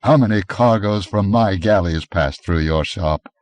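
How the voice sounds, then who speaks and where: A man reading an audiobook, voicing a brawny barbarian adventurer who speaks in a gruff, commanding way, a little higher and louder than his narration. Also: measured pace, no echo. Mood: scornful.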